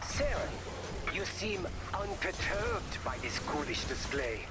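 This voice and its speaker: A man's voice: sits high, very animated, takes it slowly, heavy background noise, full character voice. A man voicing a theatrical, spooky villain in a video game, fond of stories and drawing out his words. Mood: gleefully sinister.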